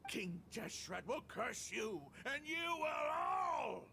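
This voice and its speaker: Desert mercenary leader, game villain, bright forceful edge, high for a man, defiant, theatrical, gravelly. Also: very animated, takes it slowly, a little hiss or hum, full character voice. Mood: furious, defiant. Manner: taunting, theatrical. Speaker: voice actor. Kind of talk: dramatic video game acting.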